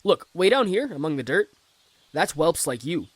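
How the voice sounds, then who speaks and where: Smug, taunting schoolyard bully. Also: male voice, on the high side, big pitch swings, normal speed, no room sound. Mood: scornful, boastful. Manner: taunting, theatrical. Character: boy bully, smug, scornful, self-important. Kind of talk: children's audio drama acting.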